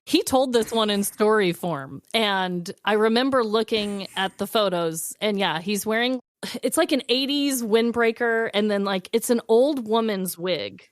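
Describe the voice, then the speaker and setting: A woman's voice: mid-range voice, a little slow, no room sound, studio quality. A woman co-hosting a podcast, telling stories in casual chat as she runs a game.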